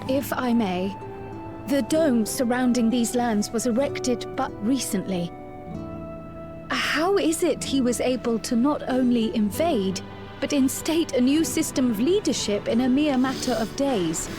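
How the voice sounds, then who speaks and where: A high, composed voice for a bookish young woman in a video game. She is polite and precise in her formal phrasing, with clear music behind her. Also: expressive, a little slow. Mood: composed, inquiring.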